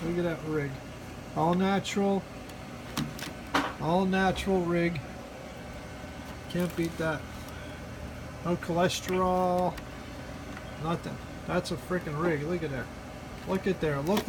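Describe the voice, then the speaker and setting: High-pitched folksy man over clear background noise. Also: slow pace, pauses often. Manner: mock-earnest, repetitive, folksy slang. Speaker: hobbyist video presenter. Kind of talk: casual joking product demonstration. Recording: noisy recording with steady background hum.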